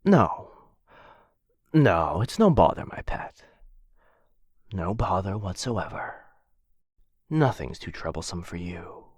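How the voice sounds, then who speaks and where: A man's voice: low voice, big pitch swings, takes it slowly, long pauses, breathy edge, no room sound, studio quality, heavily acted. A man playing a possessive, courteous dragon captor who dotes on a human pet in a boyfriend ASMR fantasy roleplay, with a coaxing, condescendingly affectionate manner. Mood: fond, reassuring. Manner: mock-polite, coaxing, theatrical, condescendingly affectionate.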